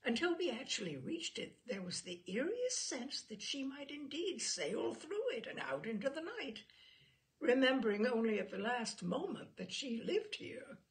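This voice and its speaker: A woman reading literary humour aloud in an expressive, theatrical and wryly amused manner. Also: on the high side, normal speed. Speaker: reader of humour stories.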